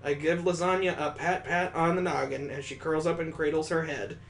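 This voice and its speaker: A man's voice: a little high, steady tone, normal speed, fairly bright tone, faint music behind, poor audio. A man hosting a gaming video, narrating a visual novel with improvised asides as he reads aloud.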